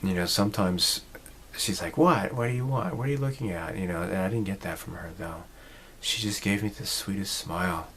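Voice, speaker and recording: A man's voice: deep voice, fairly quick, a bit bright, slight background noise. A man in his forties talking casually to camera, rambling and reflective, with many fillers and restarts. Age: 40s. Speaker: channel host. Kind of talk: casual personal vlog talk.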